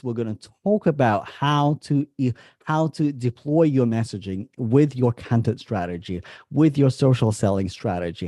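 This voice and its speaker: Bright-voiced Russian-accented man coaching online. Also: medium pitch, no room sound, clean studio sound. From Moscow, Russia. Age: 30s. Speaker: business coach hosting a masterclass. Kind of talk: informal online presentation.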